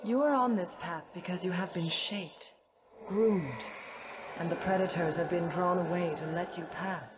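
An actress playing a mysterious female spirit seer in a video game, speaking in solemn, cryptic, halting phrases. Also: on the low side, muffled recording, noisy background, natural voice. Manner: slow, portentous, halting phrases.

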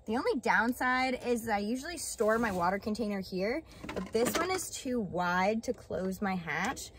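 A woman's voice: mid-range voice, lively intonation, moderate pace, noisy background. Chatty young woman vlogging into her phone. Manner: chatty, upbeat, lots of "like" fillers. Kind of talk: casual vlog chat. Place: in a car, then outdoors by a car hatch.

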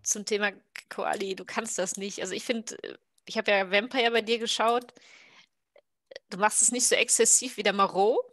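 A woman's voice: medium pitch, fairly even intonation, moderate pace, fairly bright tone, no echo. A woman chatting casually on a group video call, speaking in an encouraging way. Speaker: tabletop player. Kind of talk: casual chat, giving encouragement.

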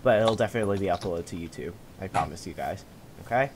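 A woman's voice: a bass voice, expressive, quick pace, darkish tone, a little hiss or hum. A woman host chatting casually over gameplay, rambling and hesitant, trailing off mid-sentence, with a cough. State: has a cough. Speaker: gaming channel host.

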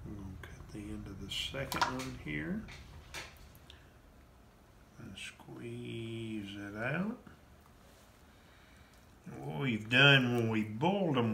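A plain-spoken man in his fifties talks through a home cooking demo, one step at a time, in an unhurried drawl. His voice bounces around a hard-surfaced kitchen, caught on a phone camera held a few feet away. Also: mid-range voice, creaky, bright, forceful tone, a little hiss or hum. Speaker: cooking show host. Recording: roomy kitchen echo.

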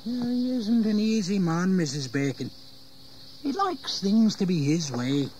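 A man's voice: high-pitched, sing-song, measured pace, a little mellow, slight background noise, low-quality recording. An actor playing a bitter English working-class servant and father, rustic and deferential yet grumbling, in an audio history drama. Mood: bitter, weary. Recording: 1980s audio drama, insect ambience.

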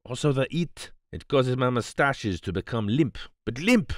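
A male reader puts on a theatrical, fastidious Belgian detective for a storybook reading. The accent is French-speaking Belgian, with foreign turns of phrase and a declamatory, easily outraged air. The pitch swings widely, in a dry close recording. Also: expressive, dry recording, close to an everyday voice. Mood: indignant, fussy.

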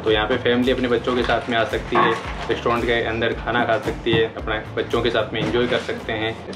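A man's voice: a little fast, loud music behind the voice. A man in his thirties, a restaurant manager giving a welcoming promotional talk indoors. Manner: even, welcoming pitch for the place.

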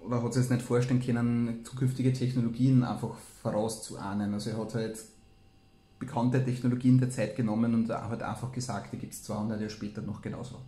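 A man's voice: medium pitch, flat delivery, brisk, dark tone. A man, hosting, reading aloud and commenting casually. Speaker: host. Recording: roomy, echoey room sound.

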